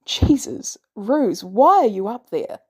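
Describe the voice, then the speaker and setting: A woman's voice: on the high side, big pitch swings, slow pace, a little mellow, slightly rough, full character voice. A woman voicing a sarcastic, exasperated male inventor with a wry, informal manner in an audio story. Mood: exasperated, puzzled.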